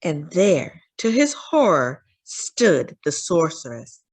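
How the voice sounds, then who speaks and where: Expressive woman reading a storybook. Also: sits low, slow pace, husky. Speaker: children's read-aloud storyteller.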